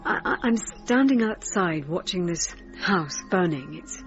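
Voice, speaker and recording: An actress playing a distraught, guilt-ridden woman haunted by nightmares, speaking in an anxious, stammering, halting way in a naturalistic radio drama. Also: fairly low pitch, animated. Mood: anguished. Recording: dull radio recording with music behind.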